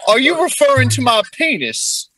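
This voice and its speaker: A man voicing a lewd, old-fashioned Southern gentleman with a florid, courtly drawl in an improvised comedy role-play. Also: extremely high pitch, big pitch swings, moderate pace, over a noisy crowd, rough recording. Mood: courtly, suggestive.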